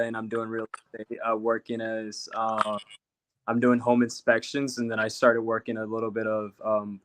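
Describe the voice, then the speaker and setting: A man's voice: mid-range voice, a bit bright, slight background noise, low-quality recording. A young man from Puerto Rico, a guest telling rambling stories in casual chat with many fillers.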